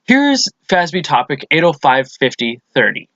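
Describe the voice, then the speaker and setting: A man's voice: a little high, big pitch swings, moderate pace, a bit bright, no echo, dull audio. A man presents a lesson as scripted instructional narration, explaining things methodically step by step.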